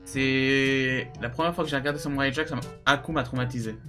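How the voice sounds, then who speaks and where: Young guy co-host, talks super fast, casual and teasing, music behind. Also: mid-range voice, steady tone, sharp tone. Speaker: YouTube co-host. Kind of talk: casual chat, giving opinions.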